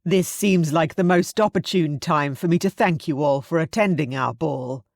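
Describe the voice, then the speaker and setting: A woman voicing a jovial young gentleman addressing his guests with proud cheer. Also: sits low, moderate pace, gravelly, no echo, studio quality.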